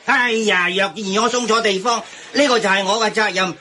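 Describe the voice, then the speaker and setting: A man's voice: high-pitched, steady tone, fairly quick, pushed and bright, a little hiss or hum. A man acting a cocky, argumentative delivery man who shrugs off blame, in a Hong Kong Cantonese radio comedy.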